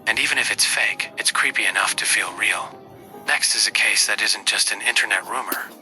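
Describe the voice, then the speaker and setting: A man's voice: fairly low pitch, a little fast, bright, forceful tone, rough recording. A man delivering scripted narration in the style of a spooky countdown video. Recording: prominent music under voice. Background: music.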